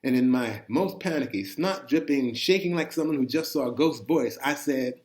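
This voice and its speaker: Man, mid-pitched voice, first-person storytelling, conversational delivery, expressive, deliberate emphatic phrasing. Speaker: reader of a personal chronicle. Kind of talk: dramatic first-person storytelling, read aloud.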